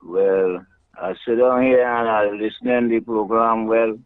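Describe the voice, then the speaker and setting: Trini man phoning into radio, lowish voice, dry dull line, kinda ceremonious and unhurried. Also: fairly even intonation, smooth, no room sound. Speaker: radio caller. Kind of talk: casual greeting on a call-in show.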